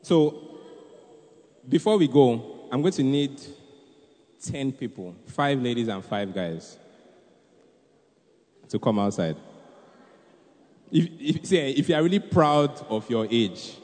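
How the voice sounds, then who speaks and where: A man's voice: medium pitch, expressive, slow, pauses often, darkish tone. A man with a Nigerian accent preaching and hosting a church youth event, exhorting the room and repeating phrases for emphasis. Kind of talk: animated youth sermon and stage hosting. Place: large indoor hall at a live event. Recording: reverberant large hall PA.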